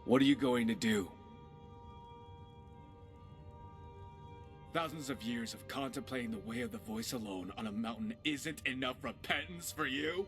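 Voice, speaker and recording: An actor playing a roguish, witty pirate companion in a video game, talking in a wry, philosophical, conversational way. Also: male voice, medium pitch, brisk, music in the background, natural voice. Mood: earnest, pointed.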